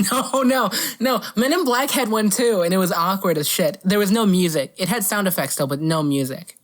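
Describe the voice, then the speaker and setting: A woman's voice: medium pitch, normal speed. A woman co-hosting a podcast, chatting casually about movie news in a playful, teasing way. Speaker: podcast co-host.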